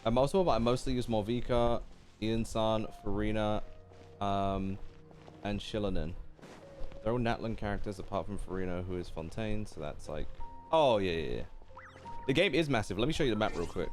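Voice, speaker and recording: A man's voice: on the low side, normal speed, soft background music. A man streaming a game, chatting and reacting casually in a playful, rambling way.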